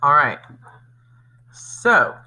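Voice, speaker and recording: A male elementary teacher presents a lesson and reads aloud to children. His pitch moves up and down freely and his tone is bright and forward. He speaks expressively and addresses the pupils directly. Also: big pitch swings, extremely slow, low-quality recording.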